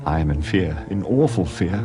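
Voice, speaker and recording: An actor reading as a terrified young man trapped in a castle, slow and fearful. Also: deep voice, lively intonation. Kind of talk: dramatized reading over music. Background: music.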